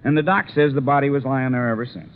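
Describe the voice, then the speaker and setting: An American actor playing a talkative small-town police sergeant in 1950s radio drama, plain-spoken and opinionated. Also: male voice, medium pitch, steady tone, fast, lightly acted. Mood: matter-of-fact. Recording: tinny, noisy 1950s radio recording.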